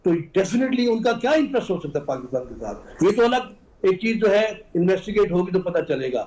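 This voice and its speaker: A man's voice: high-pitched, a little mellow, dull audio. A man with a Pakistani accent arguing emphatically as a political talk show guest, often repeating himself and dropping in English phrases. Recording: roomy, echoing sound.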